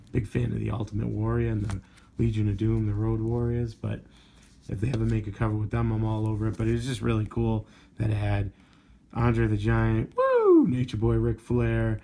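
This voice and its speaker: A hoarse man of about forty with a heavily gravelly, dark voice. He rambles casually, full of fillers, sounding strained as his voice gives out. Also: fairly low pitch. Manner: rambling, filler-heavy, enthusiastic about covers. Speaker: comic book collector vlogger. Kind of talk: casual show-and-tell review.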